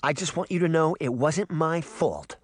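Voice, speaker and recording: A man voicing a high, bossy little girl. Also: moderate pace, no echo, studio quality, lightly acted. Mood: apologetic. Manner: scolding, lecturing, earnest. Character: bossy, indignant seven-year-old girl, sweet and earnest. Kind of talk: audiobook character acting.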